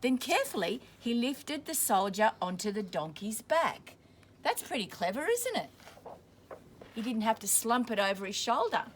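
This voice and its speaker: A woman's voice: fairly high pitch, big pitch swings, slight background noise. A woman reads a picture book aloud to children, stopping to explain words in plain terms. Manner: pauses to explain words simply. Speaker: school staff member reading aloud.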